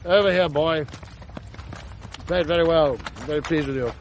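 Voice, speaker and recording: Actor as a brisk English schoolmaster, slightly hissy recording. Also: male voice, on the high side, natural voice, poor audio. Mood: brisk, kindly. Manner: clipped, bantering, mock-stern, old-fashioned. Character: brisk, blustering, teasing English boys' school master. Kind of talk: radio drama acting.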